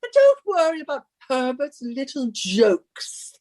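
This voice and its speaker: Woman acting a very shrill, haughty, bossy wife. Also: sing-song, darkish tone, exaggerated voice. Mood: gracious, assured. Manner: imperious, theatrical, drawn-out delivery. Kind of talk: radio suspense drama acting.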